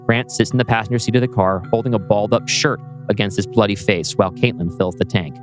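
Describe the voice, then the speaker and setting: A man's voice: lowish voice, quick pace. A man reading scene directions from a script as a podcast host. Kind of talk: scripted narration of a screenplay. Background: music.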